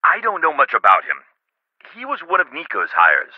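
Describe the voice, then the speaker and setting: Earnest, very animated lab owner. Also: male voice, fairly high pitch, moderate pace, slightly rough, no echo, narrow, tinny audio, full character voice. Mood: suspicious, confiding. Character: earnest businessman lab owner, troubled and guarded. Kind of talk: video game voice acting.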